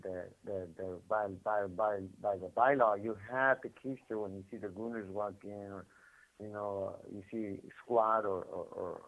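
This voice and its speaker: A man with a Californian accent telling a rambling story over a prison phone call, repeating words and using street slang. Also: lowish voice. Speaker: incarcerated caller. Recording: tinny phone line.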